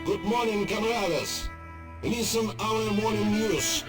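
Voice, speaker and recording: A man playing a propaganda radio newsreader in a game, speaking broken English slowly and deliberately. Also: high voice. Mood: chipper. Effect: radio broadcast. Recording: radio broadcast with music behind.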